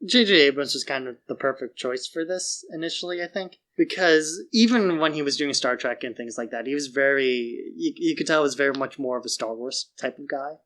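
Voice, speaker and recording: A man's voice: mid-range voice, brisk, hardly any pauses, fairly bright tone. A man co-hosting a podcast, giving his opinions in casual, informal chat. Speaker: podcast co-host.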